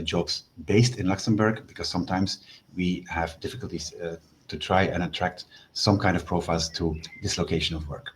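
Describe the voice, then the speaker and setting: A man with a fairly low voice speaks on a webinar, explaining hiring procedures in a steady, patient way with a few fillers along the way. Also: a little hiss or hum. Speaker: selection office representative, webinar speaker. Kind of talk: online panel talk. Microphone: video call.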